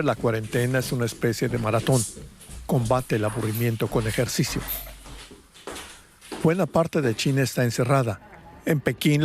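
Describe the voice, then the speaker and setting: A man narrating a television news report in a steady, informative way. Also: mid-range voice.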